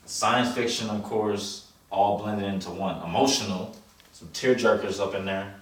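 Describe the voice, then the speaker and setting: Low-voiced man chatting, echoey and distant. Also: a little slow. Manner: blunt, loose, slangy, profane. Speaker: co-reviewer. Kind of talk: casual chat. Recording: echoey, distant mic with background noise.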